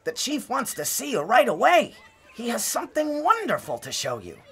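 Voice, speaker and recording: A man's voice: very high-pitched, normal speed, slightly rough, pitched above the performer's own voice, exaggerated voice. A man voicing an eager, grinning young tribesman in a dramatic storybook reading. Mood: eager, excited. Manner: eager, excited. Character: excited, grinning young tribesman delivering happy news.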